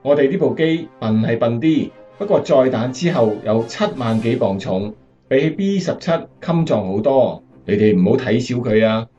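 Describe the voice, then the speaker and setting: A man acting a steady, earnest American wartime bomber captain in a radio drama. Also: mid-range voice, moderate pace, muffled recording, soft background music, natural voice. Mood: modest, eager.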